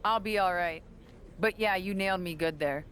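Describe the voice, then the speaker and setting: Lady doing proud champ wrestler mentor in a game cutscene, measured n sure of herself, noisy background. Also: fairly low pitch, a bit bright, natural voice. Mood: earnest, conciliatory. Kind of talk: scripted video game cutscene acting.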